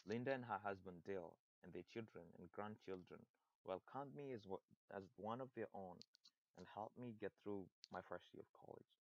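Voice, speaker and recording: A man's voice: fairly low pitch, moderate pace, muffled recording. A young man from Kenya with a Kenyan accent, giving a prepared personal speech and sometimes restarting a word. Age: young adult. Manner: reads steadily, restarts words. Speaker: student speaker.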